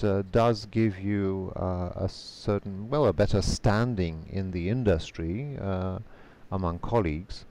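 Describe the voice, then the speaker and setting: Polish-native male interpreter guest, low voice, measured answers, video call. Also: a little mellow. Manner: measured, careful, frequent hesitation fillers.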